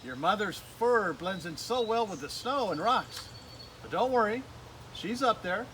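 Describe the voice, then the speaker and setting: A friendly little male pika from a storybook, voiced by a man. He sounds bright and raised in pitch, cheerful and reassuring. There is faint outdoor noise around the voice. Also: lively intonation, a little slow, low-quality recording. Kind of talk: children's storybook read-aloud.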